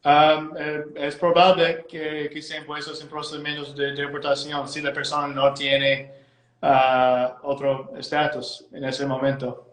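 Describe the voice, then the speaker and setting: A man's voice: fairly high pitch, completely flat delivery, unhurried, a bit bright, echoey room, poor audio. A man with an American accent and English as his first language, a lawyer explaining immigration law as a guest in a live interview, hesitant and repeating words as he phrases things carefully.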